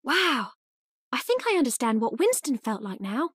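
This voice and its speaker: A woman's voice: sits high, big pitch swings, normal speed, a bit bright, breathy edge, dry recording, studio quality, heavily acted. A woman playing a cheeky, irrepressible young hero pilot in a video game, chirpy and bantering in a Cockney accent.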